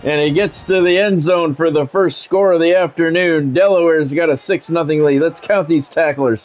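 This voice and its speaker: A man's voice: fairly high pitch, moderate pace, darkish tone, soft background music, rough recording. Man calling a football video game play-by-play. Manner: radio-style play-by-play, breaks into casual swearing and greets chat viewers. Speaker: livestreaming play-by-play commentator.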